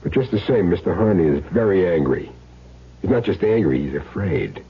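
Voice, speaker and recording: A cool, menacing American hitman in a vintage radio play. His voice is very low and swings expressively through clipped, sardonic lines. The old broadcast sounds muffled, with faint hiss. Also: animated, slightly rough, heavily acted. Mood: sardonic threat. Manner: clipped, sardonic, deliberate tough-guy delivery. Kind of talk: 1970s radio drama acting. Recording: muffled, hissy 1970s radio broadcast recording.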